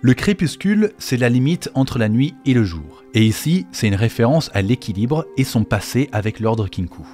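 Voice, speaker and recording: A man's voice: medium pitch, big pitch swings, normal speed, darkish tone. A man narrating a scripted explainer as the host of a video on game lore. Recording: faint music behind the voice. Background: faint background music.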